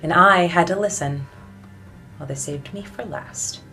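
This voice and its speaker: An actress playing a weary, defiant suffragette in an intense, deliberate theatrical monologue with heavy pauses. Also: female voice, lowish voice, pushed and bright, soft background music. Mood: haunted, bitter. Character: weary, defiant suffragette woman recalling hunger and beatings.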